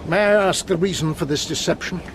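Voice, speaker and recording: A man's voice: fairly high pitch, brisk, noisy background, natural voice. A man acting a suave, sardonic master criminal with an urbane manner in an audio drama. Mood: startled, grudging. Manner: urbane, dry.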